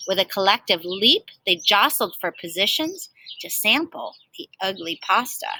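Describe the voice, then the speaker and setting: A woman's voice: mid-range voice, very animated, moderate pace, pushed and bright, slight background noise, rough recording. A woman reading a children's storybook aloud expressively, like a teacher, with emphatic stresses and chatty asides.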